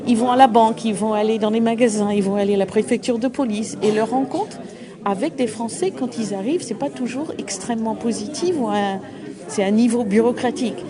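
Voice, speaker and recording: Woman, halting, crowd chatter behind. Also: on the high side. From United States. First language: English. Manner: halting, searching for words, many restarts. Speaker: association representative. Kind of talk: interview at an open day. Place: busy open-day event.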